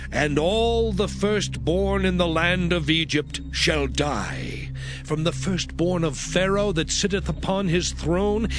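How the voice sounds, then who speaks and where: High-voiced, solemn defiant prophet. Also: male voice. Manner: declamatory, solemn, drawn-out phrases. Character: solemn, defiant Hebrew prophet, firm and righteous. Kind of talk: dramatized scripture audio acting. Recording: music under the voice.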